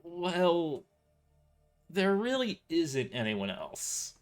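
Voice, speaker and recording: A man's voice: a little high, big pitch swings, drawn-out words, faint music behind, pitched above the performer's own voice, exaggerated voice. A man voicing a sheepish young office dispatcher, hesitant, stammering and apologetic, in an audio drama.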